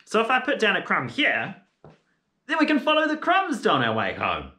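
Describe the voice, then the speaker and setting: A man's voice: sits high, wildly swooping pitch, moderate pace, sharp tone, full character voice. A young man playing an eager, naive, easily spooked little boy in a children's fairy tale, with a theatrical, wide-eyed manner. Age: young adult. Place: living room. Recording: echoey indoor room.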